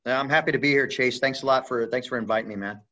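A man's voice: mid-range voice, quick pace, sharp tone, lo-fi sound. A man in his forties from the US Midwest, speaking as an interview guest in a loose, rambling way with many fillers and restarts. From Midwest US (Indiana/Michigan). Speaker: guest, retired Air Force pararescueman. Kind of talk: casual interview talk.

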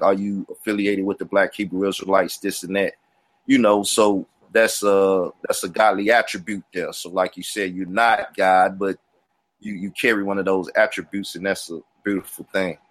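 Low-voiced man explaining scripture. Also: flat delivery, no echo. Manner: rambling, scripture-quoting, halting fillers. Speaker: guest Bible teacher. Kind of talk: casual explanatory talk.

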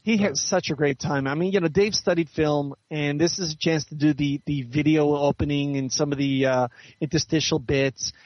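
A man's voice: a little high, fairly even intonation, moderate pace, dry recording, dull audio. A man being interviewed as a guest author, chatting casually and explaining things at length.

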